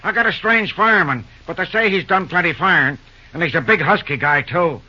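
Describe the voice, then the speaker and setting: A brash American railroad engineer, high and piercingly bright. He is blunt, slangy and impatient. The recording is old-time radio, muffled with some hiss. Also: brisk, husky, full character voice. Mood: breezy, confident.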